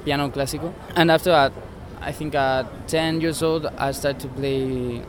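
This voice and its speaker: A man's voice: fairly high pitch, steady tone, moderate pace. A man in his twenties from Spain, giving hesitant interview answers in simple English outdoors. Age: 20s. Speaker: interviewee, jazz pianist. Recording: crowd noise behind.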